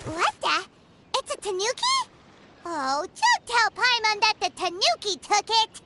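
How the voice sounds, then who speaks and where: A woman voicing a tiny, excitable childlike fairy companion in an anime-style game, squeaky and exclamatory. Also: unhurried, heavy background noise, exaggerated voice. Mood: surprised. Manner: exclamatory, refers to herself by name. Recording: game music behind the voice.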